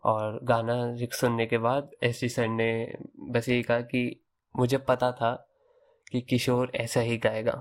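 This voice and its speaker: Young guy podcaster telling a story, chatty, mid-pitched voice. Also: monotone, slow. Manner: conversational, Hinglish fillers and restarts. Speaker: podcast host. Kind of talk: casual storytelling.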